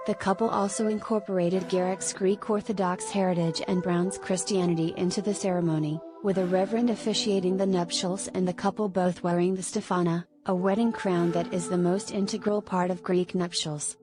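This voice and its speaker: A woman narrating a scripted celebrity news article in a measured read-aloud manner. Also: fairly low pitch, fairly even intonation. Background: music.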